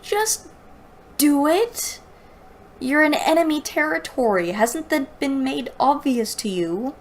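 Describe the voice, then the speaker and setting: A woman's voice: sits high, expressive, slow, a little hiss or hum, pitched above the performer's own voice, exaggerated voice. A woman voicing a blunt, impatient young male adventurer from a fantasy story. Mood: urgent.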